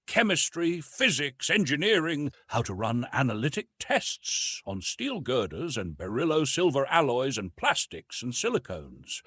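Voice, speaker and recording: A man reading the part of an aging archaeologist, reflective and gentle, his voice a little husky. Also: mid-range voice, no echo. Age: older. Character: aging male scholar, weary and resigned.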